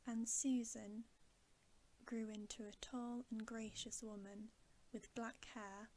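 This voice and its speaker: A woman reading a bedtime story for ASMR in a soft, gentle, breathy voice that often drops to a whisper. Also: on the high side, flat delivery, slight background noise, rough recording. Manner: hushed, unhurried, gentle. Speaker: ASMR reader.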